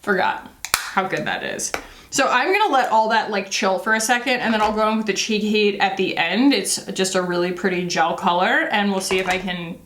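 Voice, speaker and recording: A woman's voice: mid-range voice, animated, normal speed, a bit bright, roomy sound, slight background noise. A woman in her thirties, a beauty vlogger chatting casually and rambling while she does her makeup, with lots of fillers and self-deprecating asides.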